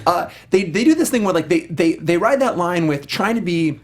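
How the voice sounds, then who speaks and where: A man's voice: high-pitched, animated, normal speed. A man co-hosting a casual movie review chat, wordy and digressive, cutting himself off with informal, emphatic, sweary phrasing. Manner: verbose, digressive, self-interrupting. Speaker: talk show co-host reviewing video game movies.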